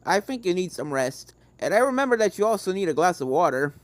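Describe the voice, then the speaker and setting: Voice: medium pitch, sing-song, fast talker, no echo, natural voice. A narrator voicing a kindly, serious male pony professor who explains things formally in a read-aloud story. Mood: reassuring.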